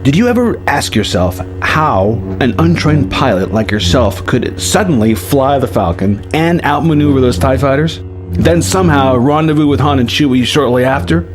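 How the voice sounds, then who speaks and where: A man's voice: medium pitch, animated, normal speed, music in the background, close to an everyday voice. A man voicing a seasoned, wry Jedi master who mentors with assurance in a dramatized story reading. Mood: calm, assured.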